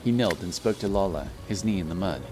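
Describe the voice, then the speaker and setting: A man's voice: low-pitched, expressive, fairly quick, darkish tone, soft background music. A man reading scripted, dramatic narration for a fantasy story.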